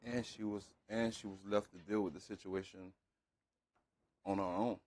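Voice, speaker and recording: A man adding halting, conversational remarks in short phrases during a religious teaching. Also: on the low side, fairly even intonation, vocal fry, a little mellow.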